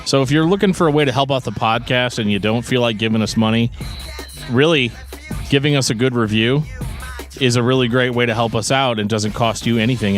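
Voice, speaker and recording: A man co-hosting a podcast in casual chat, explaining things in a joking, rambling way. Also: mid-range voice. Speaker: podcast co-host.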